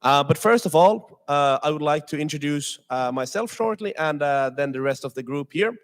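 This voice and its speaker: A man's voice: fairly high pitch, expressive, normal speed. A young man from Finland moderating a streamed student panel, hesitant with many filler sounds. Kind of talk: panel discussion on a livestream.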